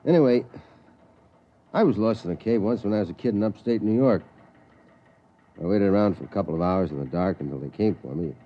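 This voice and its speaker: An actor playing a gruff, jaded newspaper night editor, rambling offhandedly in a 1950s radio drama. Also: male voice, lowish voice, expressive, brisk, long pauses. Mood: offhand, musing. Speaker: news editor. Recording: dull, noisy 1950s radio recording.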